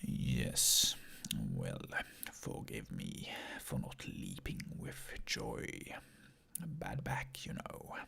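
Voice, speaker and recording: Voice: rumbling low, wildly swooping pitch, extremely slow, vocal fry, pushed and bright, husky, voice pushed down, exaggerated voice. A person whispering the lines of a sly, sarcastic villain lion with a drawling, mock-sweet manner. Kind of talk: whispered script reading.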